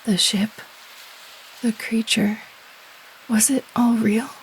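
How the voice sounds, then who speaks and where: Woman acting as rescued sci-fi heroine, breathy, tentative, intimate, narrow pitch range, unhurried, audio drama. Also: fairly even intonation, background noise. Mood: dazed, frightened. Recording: music bed under the voice. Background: dramatic music.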